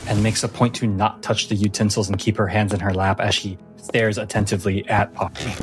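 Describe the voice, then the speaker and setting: A man's voice: fairly low pitch, little pitch movement, fairly quick. A man improvising scene narration as game master of a tabletop fantasy game. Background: background music.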